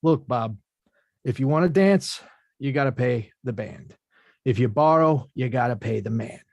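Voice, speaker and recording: Guy acting out a blunt low-key boxer debt collector in a script read, dry close sound. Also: lively intonation, moderate pace, gravelly, a little mellow, no room sound. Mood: calm, menacing. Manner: blunt, plainspoken, understated. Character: laid-back tough boxer working as debt collector. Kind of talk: table read of a film script.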